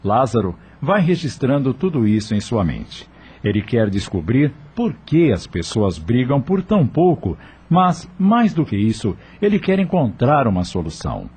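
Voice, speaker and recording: A man narrating a radio drama from a script, in an expressive, dramatic storytelling manner. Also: mid-range voice, normal speed, slightly rough.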